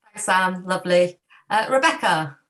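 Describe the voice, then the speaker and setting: A woman moderates a panel and passes the floor from guest to guest with brisk, encouraging prompts. Her voice is slightly low for a woman. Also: lively intonation, fairly bright tone. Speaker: host and moderator.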